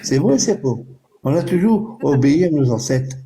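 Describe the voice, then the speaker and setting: A man in a casual group voice chat who talks slowly and insistently, repeating his phrases. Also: on the high side, sing-song, warm tone. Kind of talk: casual group voice chat, repetitive rhetorical questions.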